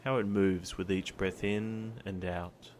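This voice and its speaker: Deep-voiced man guiding meditation over surf. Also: slight background noise. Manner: calm, soothing, gentle instructing with long pauses. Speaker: meditation guide. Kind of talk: guided body scan meditation. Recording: surf sounds behind the voice. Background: ocean surf ambience.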